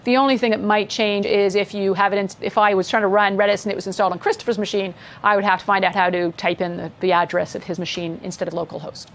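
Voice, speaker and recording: A woman's voice: mid-range voice, flat delivery, fast talker, few pauses. A woman casually walking through code as an instructor, chatty and upbeat, laughing along with her co-host. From mother from Scotland. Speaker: coding instructor. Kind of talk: casual screen-share coding lesson.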